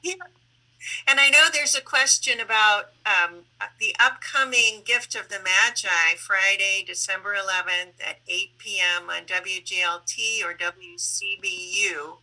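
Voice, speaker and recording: A woman hosting an online panel in a conversational, deliberate way, with some halting phrasing. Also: mid-range voice, pushed and bright, poor audio. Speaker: host and moderator of a public online panel.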